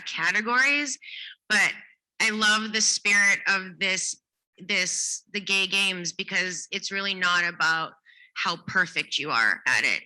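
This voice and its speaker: A woman's voice: fairly low pitch, sharp tone, rough recording. An enthusiastic, earnest woman from Canada, middle-aged, answering questions at a press briefing. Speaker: event ambassador.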